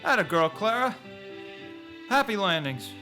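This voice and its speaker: A man acts out a grateful young family man in a vintage radio play. He is excitable and overwhelmed, tripping over his words. Music plays clearly behind him as he speaks on a live stage. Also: high voice, measured pace, full character voice. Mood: tender. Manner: excitable, stammering.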